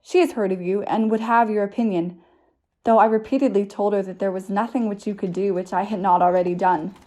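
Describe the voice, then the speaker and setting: A woman's voice: mid-range voice, steady tone, fairly quick, warm tone, close to an everyday voice. A woman playing a smug, sly male police inspector in an amateur audio drama, formal and condescending.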